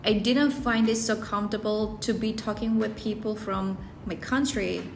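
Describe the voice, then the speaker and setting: Woman giving earnest advice, roomy sound. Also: medium pitch. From South Korea. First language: Korean. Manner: earnest, rephrases herself mid-sentence. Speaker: English-learning video creator. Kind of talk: personal advice talk to camera. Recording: roomy echo, faint background music.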